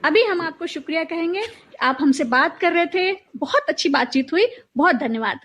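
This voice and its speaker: A woman's voice: extremely high pitch, expressive, normal speed, a bit bright. A woman hosting a conversational radio interview, putting questions and comments to her guest. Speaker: interviewer, host.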